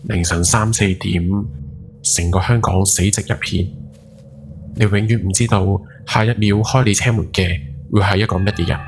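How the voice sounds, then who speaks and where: A man's voice: lowish voice, fairly even intonation, moderate pace, slight background noise, close to an everyday voice. A man playing a guilt-ridden night taxi driver in his forties, telling a horror story in Hong Kong Cantonese with brooding, dramatic emphasis. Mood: weary, brooding. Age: 40s. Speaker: ghost story podcast narrator. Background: background music.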